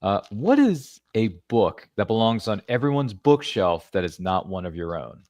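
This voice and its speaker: A man's voice: on the low side, sing-song, moderate pace, darkish tone, dry recording, studio quality. A man hosting a podcast interview, chatty and rambling, with jokey asides. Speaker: podcast host. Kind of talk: casual interview chat.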